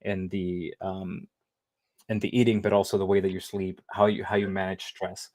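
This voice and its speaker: Guest, male, 30s, lower voice, loose conversational delivery with fillers and self-corrections. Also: level tone, moderate pace, darkish tone. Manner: rambling, lots of fillers and restarts. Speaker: interview guest, living kidney donor. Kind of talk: casual conversational interview.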